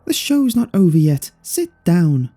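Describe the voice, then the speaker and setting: A man's voice: sits high, big pitch swings, a little slow, dark tone, faint music behind, voice pushed up, full character voice. A man voicing a bossy, scolding female hand puppet with sing-song commands in a horror storybook reading.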